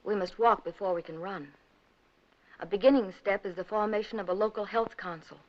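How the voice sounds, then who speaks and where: A woman delivering scripted 1950s documentary narration in an earnest, measured, rhetorical manner. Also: medium pitch. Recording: dull 1950s film soundtrack, music behind. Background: background music.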